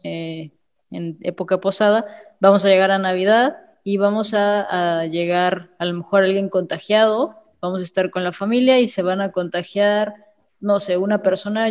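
A woman host explaining in a measured way, then handing over to the guests. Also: medium pitch. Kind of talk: casual panel chat. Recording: reverberant room.

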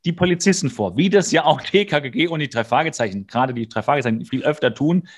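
A man's voice: a little high, lively intonation, quick pace. A male podcast co-host casually recapping and bantering, with a sarcastic, mock-exasperated way of talking.

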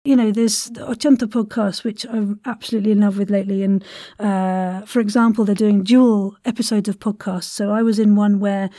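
A woman's voice: medium pitch, steady tone, brisk, dark tone, no echo, studio quality. A woman hosting a podcast, chatting casually with gushing enthusiasm. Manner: effusive, gushing. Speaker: podcast host. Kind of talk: enthusiastic casual interview chat.

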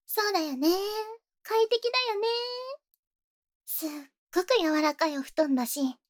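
A woman's voice: very high-pitched, animated, a little slow, pauses often. A woman playing a possessive, sweetly menacing young rich girl in a Japanese ASMR voice drama, with cutesy, coaxing phrasing and whispers. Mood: sweetly gloating.

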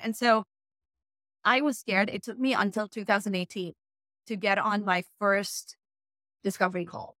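Woman from pakistan, non-native english speaker, frank energetic guest, dry close sound. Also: medium pitch, no room sound. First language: not English. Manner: frank, energetic, emphatic advice. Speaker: guest, freelance writer. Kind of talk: casual interview talk.

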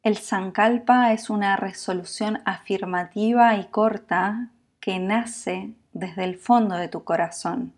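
A woman with a Latin American Spanish accent guiding a sleep meditation in a calm, soothing, unhurried manner, leaving long pauses. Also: mid-range voice. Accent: Latin American Spanish with voseo. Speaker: yoga nidra meditation guide.

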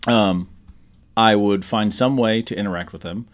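A man's voice: fairly low pitch, moderate pace. A man around thirty co-hosting a podcast, chatting casually with a friend in a rambling, sweary way. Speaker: podcast co-host. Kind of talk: casual chat between friends.

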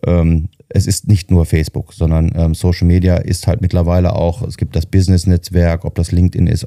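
Deep-voiced man in his fifties. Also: warm tone, no room sound, studio quality. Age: 50s. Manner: thoughtful, halting, many fillers. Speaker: marketing head, podcast guest. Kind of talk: casual podcast talk.

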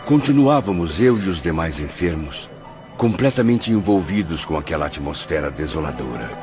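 A man's voice: deep voice, animated, moderate pace, dull audio, over music. A man playing a solemn witness who narrates a radio drama in a literary, dramatic style. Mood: grave, sombre. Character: grave first-person narrator, witness in the afterlife.

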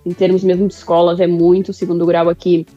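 A woman in her mid-thirties from Brazil, with a Brazilian accent tinged by European Portuguese, speaking as a guest in a remote interview in a reflective, halting way with restarts. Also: lowish voice, completely flat delivery, mellow, lo-fi sound, faint music behind. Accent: Brazilian with European Portuguese touches. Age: mid-30s.

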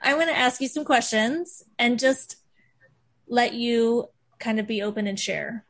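A chatty woman hosts a podcast, gushing about her guest. Her voice sits a touch high, and her talk is loose and full of fillers and easy laughter. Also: a little slow, a bit bright. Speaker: podcast host. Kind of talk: casual chat.

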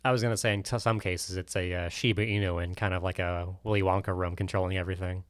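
A man with a fairly low voice chats on a podcast panel. He debates and chimes in casually, in an informal conversational style. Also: steady tone, quick pace.

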